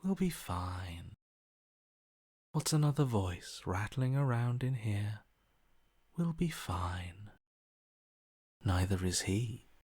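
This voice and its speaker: A man playing a cold, detached inner voice in a video game, speaking in a deadpan, unhurried way. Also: fairly low pitch, breathy edge, no echo, exaggerated voice. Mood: cool curiosity. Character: cold, detached male inner voice, bored by death.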